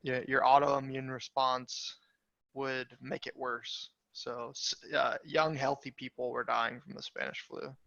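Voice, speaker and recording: A man's voice: mid-range voice, level tone, measured pace, creaky, sharp tone, no echo. A male student in a casual video call with his class, wry and dryly joking. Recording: dry muffled video call audio.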